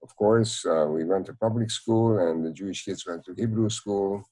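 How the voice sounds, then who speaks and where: A man in his nineties with a Hungarian accent, recounting memories in an interview in a measured, halting way. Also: sits low, mellow. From Czechoslovakia. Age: 90s. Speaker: Holocaust survivor, interviewee. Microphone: video call.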